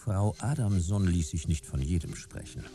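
An actor playing a dry, hard-boiled private detective in a noir radio play, speaking and narrating in terse phrases. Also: male voice, low voice, normal speed, music in the background, natural voice. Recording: clear background noise.

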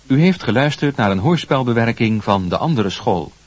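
A man with a husky voice reading a scripted announcement of credits. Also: medium pitch, lively intonation, normal speed, no room sound.

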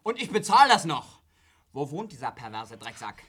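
An actor playing an awkward middle-aged father in a radio play, stiffly paternal and halting as he tries to stay tolerant. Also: a little high, sing-song, pushed and bright, slightly rough, full character voice. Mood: outraged.

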